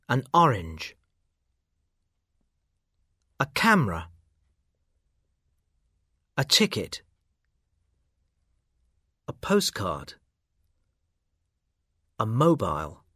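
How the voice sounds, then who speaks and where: A man reading vocabulary items for language learners slowly and deliberately, one at a time. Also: mid-range voice, wildly swooping pitch, sharp tone, slightly rough, no echo, studio quality. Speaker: course audio voice actor. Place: dry studio.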